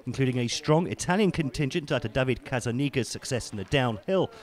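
A man giving polished, scripted voice-over commentary on ski race highlights. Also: expressive, slight background noise. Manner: measured, polished broadcast delivery. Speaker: sports commentator.

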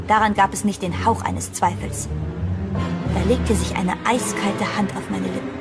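Actress as anxious horror heroine, music underneath. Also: female voice, medium pitch, natural voice. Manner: dramatic, suspenseful storytelling. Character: anxious woman narrating her own horror story. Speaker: narrator and lead actress of a horror radio play. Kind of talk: German horror audio drama, scripted first-person narration. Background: prominent music.

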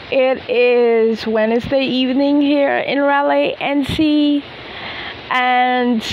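A young woman from the Bahamas talking earnestly and slowly about her faith to a phone camera held at arm's length in an open field. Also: on the high side, slight background noise, low-quality recording. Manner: earnest, unhurried, sincere faith talk. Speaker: gospel songwriter and vlogger. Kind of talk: casual talk to camera, then unaccompanied singing. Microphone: phone camera at arm's length with earphone mic.

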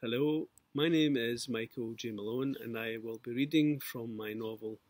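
A middle-aged man with a Scottish accent and a husky voice, speaking as an author presenting his writing. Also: mid-range voice, normal speed, darkish tone, no room sound. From Scotland. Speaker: author introducing and reading own novel. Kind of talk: informal introduction and narrative reading.

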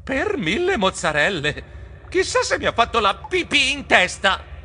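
A man voicing a fearful, excitable mouse adventurer in a children's storybook, melodramatic and exclamatory. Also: high-pitched, measured pace, voice pushed up, full character voice. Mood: shaken.

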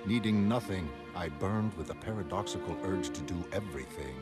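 A man performs a wealthy male visionary with a deep voice, utterly sure of his own brilliance. He speaks in measured, lofty, formal sentences, like a learned monologue from an animated comic. Music plays prominently behind him. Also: natural voice. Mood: reflective, proud. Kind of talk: audiobook-like dramatic monologue in a motion comic. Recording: studio voice over prominent music.

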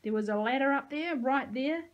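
A woman's voice: sits high, normal speed, dull audio, pitched above the performer's own voice, natural voice. A woman voicing a small, irritable old man who scolds and grumbles in an audiobook. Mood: irritated, grudging. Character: tiny, grumpy, cursing old man, gruff but helpful. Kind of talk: audiobook character dialogue.

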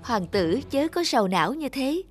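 A woman voicing a gentle, sorrowful young enchanted princess in a fairy-tale cartoon, speaking in a formal, courtly way. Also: high voice, sing-song, normal speed, soft background music. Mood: soothing.

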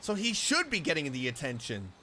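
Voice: mid-range voice, wildly swooping pitch, fairly quick, bright, forceful tone, a little hiss or hum. A gaming streamer giving casual, playful, reactive commentary and reading dialogue over a game with music behind.

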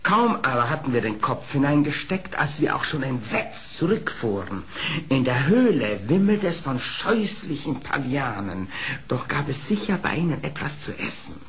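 An actor playing a sly, smooth-talking fox who tells his adventures in a theatrical, courtly radio play manner. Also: mid-range voice, normal speed. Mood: storytelling. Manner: theatrical, courtly, flattering storytelling. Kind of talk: German radio play acting. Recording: old audio play with faint noise.

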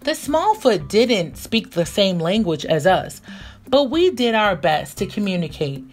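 A woman reading a picture book aloud for young children, expressive and deliberate in her storytelling. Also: mid-range voice. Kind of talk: animated read-aloud narration for young children. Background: music.

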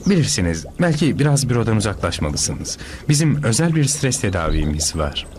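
A man's voice: lowish voice, big pitch swings, moderate pace, darkish tone, soft background music. A man playing an unflappable, self-important psychotherapist in a dubbed cartoon, soothing and patronizing. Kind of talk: dubbed cartoon character acting.

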